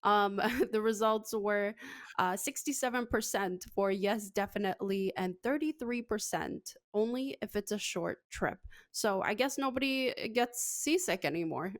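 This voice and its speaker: A woman with a mid-pitched voice presents a segment on a talk show. She speaks informally, as in casual on-air chat. The sound is dry, without room echo. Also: measured pace. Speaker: show's social media presenter.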